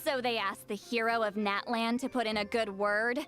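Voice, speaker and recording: A woman's voice: on the high side, steady tone, normal speed, a bit bright, faint music behind, close to an everyday voice. A woman playing a proud, aloof great shaman in an anime game, haughty and easily flustered. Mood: huffy. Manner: haughty, hesitant when flustered.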